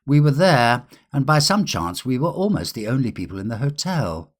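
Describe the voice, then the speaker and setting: A man playing a gentleman confiding an odd memory, lively. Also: medium pitch, normal speed, voice pushed up. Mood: brisk, reflective.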